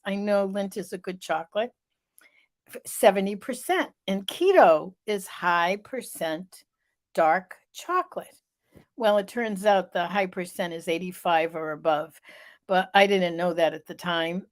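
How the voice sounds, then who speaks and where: Middle-aged woman host, stuffy-voiced, unhurried live-vlog storyteller. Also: mid-range voice. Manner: slow, storytelling, emphatic repetition.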